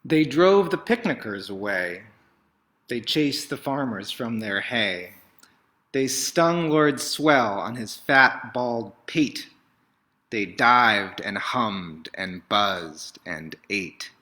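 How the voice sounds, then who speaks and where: A man with a very bright, forceful voice at a middling pitch reads a picture book aloud for children. His delivery is theatrical and emphatic, shifting between dramatic swells and hushed phrases. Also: lively intonation, slow. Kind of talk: expressive children's story read-aloud.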